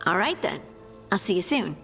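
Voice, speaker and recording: A woman's voice: mid-range voice, sing-song, moderate pace, creaky, fairly bright tone, faint music behind. An actress playing a plucky, caring woman adventurer in a fantasy video game, with long reverb on the voice. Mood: friendly.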